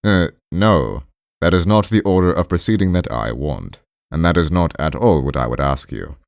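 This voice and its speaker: A man's voice: low voice, animated, moderate pace, gravelly, no room sound, studio quality. A man voicing a pompous, brusque doctor in an audiobook, ponderous and emphatic, with hems and haws. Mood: insistent. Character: pompous, brusque male doctor lecturing his patient. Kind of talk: audiobook character voice.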